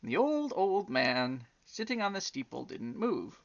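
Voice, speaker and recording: Woman storyteller with animated, swooping delivery. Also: lowish voice, highs cut off. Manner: drawn-out, theatrical emphasis with repeated words. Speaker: storybook reader. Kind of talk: expressive storytelling with chatty asides to young listeners.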